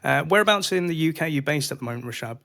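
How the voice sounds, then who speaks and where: A man's voice: a little high, lively intonation, very fast, gravelly, bright, forceful tone. A man hosting a podcast, introducing the show and interviewing a guest. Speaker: podcast host.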